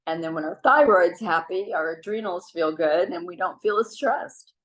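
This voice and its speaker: Chatty middle-aged woman, lowish voice. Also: normal speed, poor audio. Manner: relaxed, chatty, frequent ums. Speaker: wellness speaker. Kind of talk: casual advice talk on a video call. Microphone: video call.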